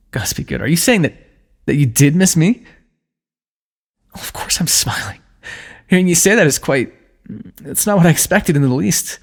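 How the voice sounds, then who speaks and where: A young man with a gravelly, husky voice speaks softly and intimately. His pitch moves a lot as he talks. His delivery is tender and earnest, with sighs and small laughs. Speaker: ASMR roleplay narrator. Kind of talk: fantasy romance ASMR roleplay.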